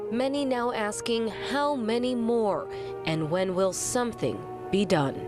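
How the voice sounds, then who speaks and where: A woman TV news reporter giving a solemn, measured field report. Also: a little high, loud music behind the voice.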